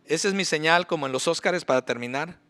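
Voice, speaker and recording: A man's voice: fairly high pitch, quick pace, sharp tone. A middle-aged male pastor preaching a sermon in a church, emphatic, with rhetorical questions. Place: church sanctuary.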